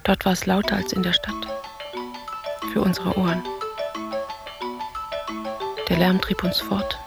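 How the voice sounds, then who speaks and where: A woman playing a pensive, detached narrator who recounts memories and dreams in measured, short literary sentences for a radio play. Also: fairly low pitch, flat delivery, gravelly. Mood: dreamlike, musing. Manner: measured, introspective. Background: music.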